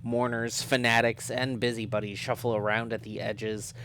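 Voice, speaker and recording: A man with a gravelly voice livestreaming, chatting and reading a visual novel aloud. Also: medium pitch, level tone, moderate pace, a bit bright, a little hiss or hum. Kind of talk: livestream chat and read-aloud narration.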